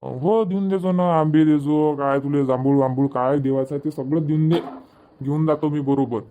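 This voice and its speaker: Mellow, higher-voiced village farmer father played by a man. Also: fairly even intonation, moderate pace, lo-fi sound, a little hiss or hum, lightly acted. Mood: agreeable, helpful. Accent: Vidarbha Marathi. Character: easygoing, obliging older village farmer father. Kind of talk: homemade comedy cartoon dubbing.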